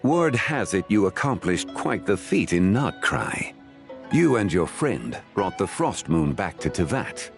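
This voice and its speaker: An actor playing a calm, ancient, scholarly man who explains things in a measured, formal way, in a video game. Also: sits low, vocal fry, exaggerated voice. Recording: game audio with faint music.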